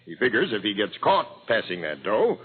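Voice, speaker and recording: A man's voice: on the low side, huge pitch swings, brisk, husky, heavily acted. A man playing a wry police captain in an old-time radio drama, reasoning things out in slangy terms. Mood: sardonic, reasoning. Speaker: actor in a radio crime drama. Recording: phone-like old radio recording.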